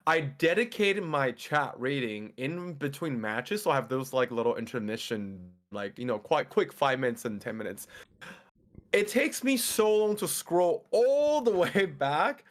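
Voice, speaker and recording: A man's voice: medium pitch, a little slow. A young man whose first language is Mandarin, a podcast guest chatting casually and rambling with lots of fillers. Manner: rambling, excitable, frequent fillers and laughs. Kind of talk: casual chat.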